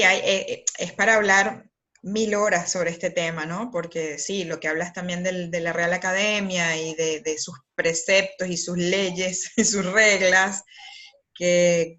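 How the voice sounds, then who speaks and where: A woman's voice: on the low side, sharp tone. A woman with a Venezuelan accent, a copywriter talking as an interview guest in a thoughtful, rambling way with many fillers and restarts. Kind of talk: conversational interview.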